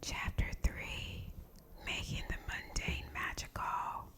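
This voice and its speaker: A woman whispers her way through a guided meditation reading in ASMR style. Her voice is breathy and fully unvoiced. She phrases softly and deliberately, at a very unhurried pace. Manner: soft, slow, deliberate phrasing. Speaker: ASMR host reading aloud from a meditation book.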